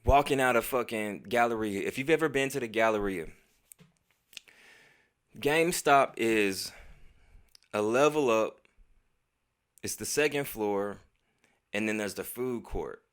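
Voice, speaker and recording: A man's voice: on the low side, unhurried, long pauses, smooth. An American man telling a casual personal story in heavy slang and profanity, acting out bits of dialogue as he goes. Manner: profane, slangy, animated retelling. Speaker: storytelling vlogger.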